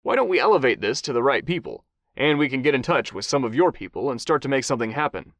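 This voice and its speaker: A man's voice: medium pitch, very animated, fast talker, fairly bright tone, dry recording. A man voicing a polished, persuasive male executive. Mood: smooth.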